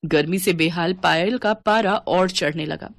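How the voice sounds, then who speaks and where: A woman's voice: on the low side, a little slow, no echo. A woman narrator reading a scripted domestic story.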